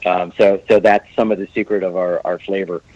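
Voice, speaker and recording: Low-voiced man with a dry close sound. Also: little pitch movement, fairly quick, dark tone, no echo, lo-fi sound. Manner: joking, rambling with many restarts. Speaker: meadery owner, guest. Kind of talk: casual podcast chat.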